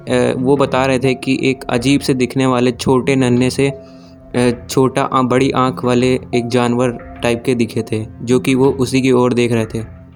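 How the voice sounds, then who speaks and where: A man's voice: mid-range voice, a little mellow. Man narrating informally over music. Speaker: YouTube narrator. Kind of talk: informal storytelling narration of alien abduction cases. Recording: music behind the voice. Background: background music.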